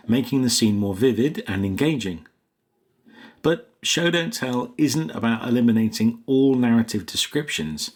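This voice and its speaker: Low-pitched man giving a scripted lesson. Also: breathy edge. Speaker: writing coach and host. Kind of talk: scripted instructional narration.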